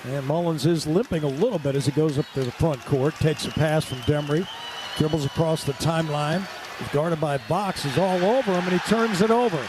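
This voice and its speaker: Male American radio sports play-by-play caller; high, animated voice, clipped phrasing, noisy arena behind him. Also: brisk. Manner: clipped present-tense phrases. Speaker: play-by-play announcer. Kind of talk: live radio basketball play-by-play commentary. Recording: clear crowd and arena background noise.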